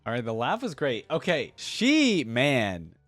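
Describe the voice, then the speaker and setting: A man gives casual fan commentary as host of a reaction video. His voice sits at a medium pitch, and he talks informally, repeating words for emphasis. The recording is dry, with little room sound. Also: huge pitch swings, a little slow, no room sound, soft background music.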